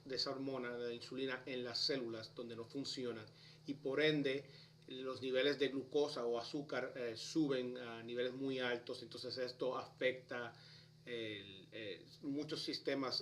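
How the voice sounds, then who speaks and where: A man from Puerto Rico, a specialist, explaining a disease carefully with pauses as a guest. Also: medium pitch, a little hiss or hum. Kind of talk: explanatory interview answers.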